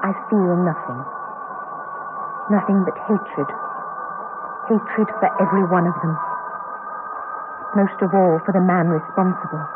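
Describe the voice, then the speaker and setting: An actress playing a bereaved, embittered woman full of hatred in an intense, deliberate radio drama monologue. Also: lowish voice, heavy background noise, close to an everyday voice. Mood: bitter, vengeful. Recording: dull old radio broadcast under loud music.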